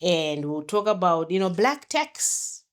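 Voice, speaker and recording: A woman's voice: on the low side, sing-song, slow pace. Chatty woman podcast host from Zimbabwe. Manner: chatty, promotional, with self-corrections. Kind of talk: solo podcast talk.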